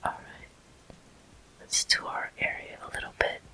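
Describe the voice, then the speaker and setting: A person whispering ASMR gameplay commentary as the host of a walkthrough, in a breathy, unvoiced, gentle and deliberate way. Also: rough recording. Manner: slow, gentle, deliberate delivery.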